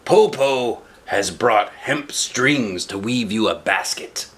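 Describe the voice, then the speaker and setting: A man voicing a cunning old wolf posing as a kindly grandmother, coaxing in a drawn-out low voice for a storybook. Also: lively intonation, fairly bright tone. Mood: feigned sweetness.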